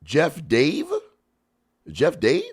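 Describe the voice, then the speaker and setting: A man hosting a podcast, a stand-up comic chatting and reacting to clips, with a stuffy nose and loud laughs. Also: a little high, drawn-out words, husky. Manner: animated, laughs loudly, chatty slang. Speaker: podcast host and stand-up comedian. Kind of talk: casual chat reacting to clips.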